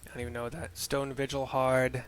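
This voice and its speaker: A man's voice: mid-range voice, flat delivery, moderate pace. A man giving casual commentary on a video game, reading out the story and muttering asides as he goes. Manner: mutters asides and spells out names. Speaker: video game player narrating a playthrough. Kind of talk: casual gameplay commentary with read-aloud narration. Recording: music behind the voice.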